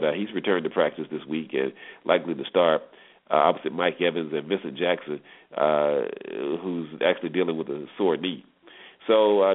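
A man hosting a solo sports talk show, giving conversational commentary with rhetorical questions and repeated points for emphasis. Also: deep voice. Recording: tinny phone-line internet radio audio.

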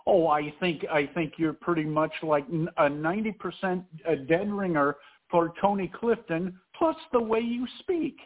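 A man hosting a radio interview, talking in an upbeat, lively way. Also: on the high side, normal speed. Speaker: host. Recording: tinny phone-like line.

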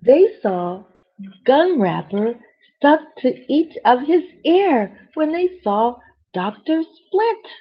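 A woman storyteller with a husky voice reads a children's bedtime story expressively, with chatty asides to young listeners. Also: high voice, very animated, slow. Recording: dull, lo-fi sound.

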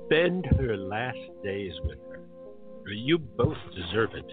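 Man playing radio drama confidant, animated intonation, gently teasing, sympathetic, phone-like sound. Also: lowish voice, takes it slowly, music in the background. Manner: knowing, sympathetic. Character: caring, gently teasing old male friend. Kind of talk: radio play acting. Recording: tinny phone-like audio.

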